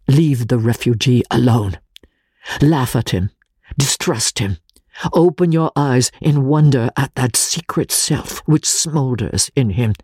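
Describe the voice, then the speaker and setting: A man's voice: mid-range voice, a little mellow, breathy edge, no room sound, clean studio sound. A man voicing an intense Italian political refugee confiding a dread secret, grave and oratorical, in a dramatic audiobook reading. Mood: impassioned, bitter.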